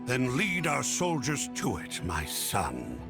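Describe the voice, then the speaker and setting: A man playing a solemn older warrior king and father in a video game, grave, measured and gravelly. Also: medium pitch, wildly swooping pitch, music in the background, heavily acted.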